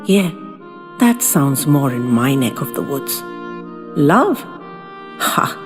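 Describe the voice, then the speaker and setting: A woman narrating a first-person audio story in a wistful, theatrical and deliberate way. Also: extremely low pitch, sing-song. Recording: long reverb, music underneath.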